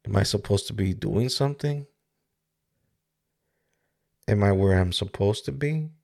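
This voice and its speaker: A man in his forties from the Dominican Republic, hosting a reflective solo podcast. He talks in a halting, searching way, with many pauses and restarts, into a studio microphone. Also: lowish voice, creaky, darkish tone. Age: 40s. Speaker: podcast host. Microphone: studio microphone with mixer.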